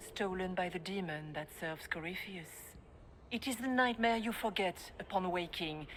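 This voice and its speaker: An actress playing a serene, wise spirit in the form of an older holy woman, speaking in a measured, formal, solemn way for a video game. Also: mid-range voice, a bit bright, slight background noise, lightly acted.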